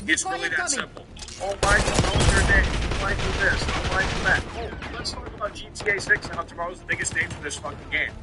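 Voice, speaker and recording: A man's voice: high-pitched, lively intonation, quick pace, few pauses, very noisy. A man livestreaming an emphatic, repetitive, combative rant to his viewers about video game news. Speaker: live stream host. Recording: loud gunfire and clicks behind voice.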